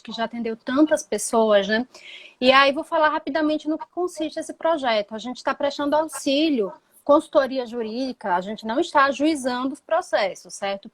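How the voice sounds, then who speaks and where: High-voiced woman lawyer hosting a live stream. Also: fairly bright tone. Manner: friendly, explanatory, frequent tag questions.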